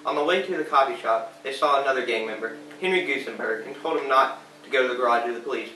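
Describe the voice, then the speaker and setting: A man's voice: mid-range voice, quick pace, bright, forceful tone, natural voice. A man playing an earnest 1920s radio news anchor, reading a scripted bulletin in an amateur period skit. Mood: earnest, newsy. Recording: distant, echoey room with faint noise.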